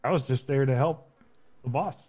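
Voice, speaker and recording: A man's voice: mid-range voice, normal speed, a little mellow, breathy edge, natural voice. A man playing a captured, unrepentant henchman in a game roleplay, giving guarded, casual, matter-of-fact answers over voice chat. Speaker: role-player in an online game. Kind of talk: improvised roleplay interrogation.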